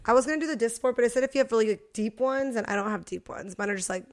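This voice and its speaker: A woman's voice: a little high, very fast. A woman in her late twenties co-hosting a podcast, chatting casually and laughing often. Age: 20s. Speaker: podcast co-host. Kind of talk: casual chat.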